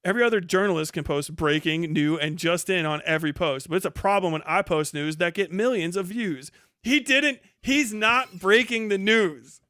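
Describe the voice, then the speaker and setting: A man's voice: high voice, pushed and bright, no echo, clean studio sound. A man hosting a livestream with casual reaction commentary, talking and reading aloud in a blunt, mocking, profane way. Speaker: livestream host.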